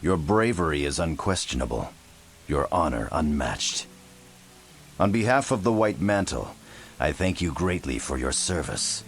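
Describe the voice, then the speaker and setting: A gruff holy-order soldier from a fantasy game. His extremely low, creaky voice is solemn and formal, with grave weight on his words. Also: animated, unhurried, a little hiss or hum, full character voice. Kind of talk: video game cutscene acting.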